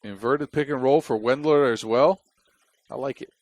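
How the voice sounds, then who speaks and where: A man's voice: mid-range voice, normal speed, no room sound. Dutch man calling basketball. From Netherlands. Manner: chatty and wry, with jokes between plays. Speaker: basketball commentator. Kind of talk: play-by-play commentary.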